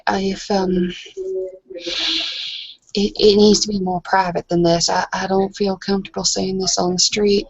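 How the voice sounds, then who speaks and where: A woman playing a bashful, nervous but stubborn young woman in improvised tabletop roleplay, halting and awkward. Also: mid-range voice, dry recording, dull audio. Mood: hesitant, nervous.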